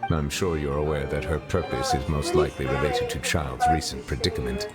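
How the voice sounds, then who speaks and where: A man playing a formal, reserved and cautious chief justice in a video game, speaking in measured, precise phrases. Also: a bass voice, fairly even intonation. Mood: grave, cautious. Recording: game music behind the voice.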